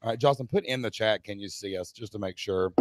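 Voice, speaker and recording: A middle-aged man, hoarse-voiced, co-hosting a live online Q&A and talking straight to the chat audience in an upbeat way. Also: on the low side, fast talker, dry recording.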